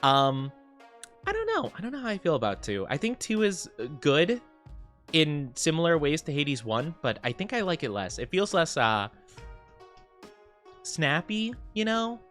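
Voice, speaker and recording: A young man streaming from home, chatting casually with viewers in a soft, rambling way full of fillers, sounding sniffly and stuffed up. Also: a little high, sing-song, unhurried, faint music behind.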